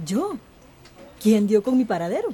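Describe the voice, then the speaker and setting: A woman's voice: on the high side, sing-song, normal speed, dark tone. An actress playing a shrewd, cynical, bossy woman mayor in a radio soap opera. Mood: flustered, deferential. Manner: commanding, scheming.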